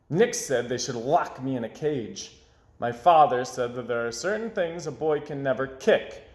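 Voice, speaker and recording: A man's voice: mid-range voice, lightly acted. Man reading as an aggrieved young boy, echoey room. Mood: exasperated. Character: young boy, dramatic, aggrieved, forever losing his money. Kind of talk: expressive children's storybook read-aloud.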